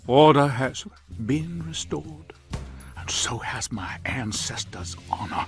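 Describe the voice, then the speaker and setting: A cruel game villain with a mid-pitched voice. He speaks slowly and pompously, full of menace and contempt. Music sits faintly behind him. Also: expressive. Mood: fervent, gloating. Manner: menacing, pompous, deliberate sneering speeches. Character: cruel, contemptuous American collaborator commander, a fanatical villain. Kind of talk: video game villain monologue.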